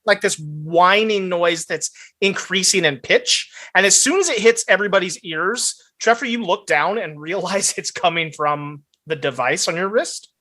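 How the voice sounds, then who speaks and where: A woman's voice: fairly low pitch, normal speed, hardly any pauses, bright, forceful tone. A woman running a tabletop role-playing game, describing scenes casually and vividly as game master.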